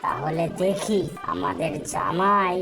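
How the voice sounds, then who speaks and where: Slow, genial cartoon dad. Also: male voice, sits high, steady tone, creaky, music in the background, heavily acted. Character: genial older father-in-law on a family picnic. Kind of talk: cartoon voice acting.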